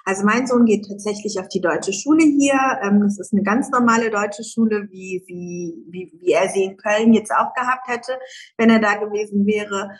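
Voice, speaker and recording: A woman's voice: medium pitch, normal speed, rough recording. A middle-aged woman, a guest entrepreneur, talking casually in an interview, with many fillers and easy laughs.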